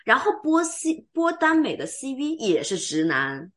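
A woman's voice: high-pitched, big pitch swings, measured pace. A woman co-hosting a podcast, chatting casually and emphatically about her opinions and mixing in English words. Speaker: podcast co-host.

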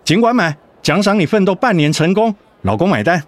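A man's voice: high-pitched, very animated, a little fast, no echo. An actor playing a cheerful, doting middle-aged husband and father in a radio drama, playful and encouraging. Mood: upbeat, encouraging.

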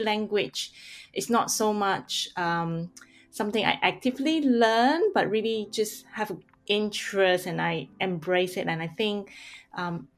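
A woman from Singapore, first language Hakka, chatting as an interview guest with many pauses and restarts. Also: medium pitch. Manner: hesitant, many ums and restarts. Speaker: guest, parent and blogger. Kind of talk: casual interview chat.

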